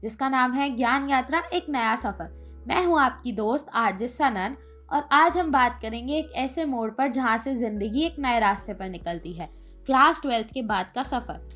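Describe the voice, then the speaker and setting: High-pitched woman radio host. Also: lively intonation, normal speed, fairly bright tone, soft background music. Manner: addresses listeners directly as friends, motivational. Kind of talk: scripted radio career-guidance presentation for students.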